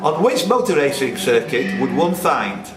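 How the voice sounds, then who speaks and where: A man's voice: medium pitch, lively intonation, normal speed, distant mic. A man hosting a quiz, reading out questions and bantering casually with the players. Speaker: quizmaster. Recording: echoey room, off-mic, music behind.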